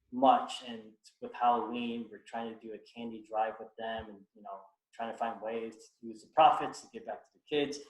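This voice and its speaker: A man from the United States speaking earnestly about his heritage on a video call, halting with many restarts. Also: mid-range voice, echoey room, low-quality recording. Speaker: guest speaker. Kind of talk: reflective personal talk on a video call.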